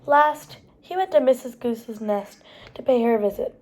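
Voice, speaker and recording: A woman reading picture books aloud to young children as a storytime host, animated and pausing to explain things to the listeners. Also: on the high side. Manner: animated, explains pictures and words as she goes.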